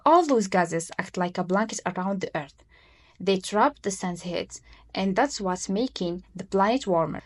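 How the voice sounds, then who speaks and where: A young woman from Morocco co-hosts a podcast and explains things from a prepared script. Her voice has a middling pitch, and she reads with clear emphasis. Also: lively intonation, a little slow. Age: 20s. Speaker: podcast co-host.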